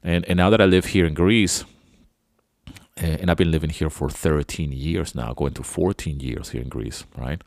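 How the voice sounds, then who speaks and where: Very deep-voiced man rambling. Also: normal speed, creaky, a little mellow, no room sound, studio quality. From Venezuela. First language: Spanish. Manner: rambling, loose and chatty. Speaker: podcast host. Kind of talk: casual reflective monologue.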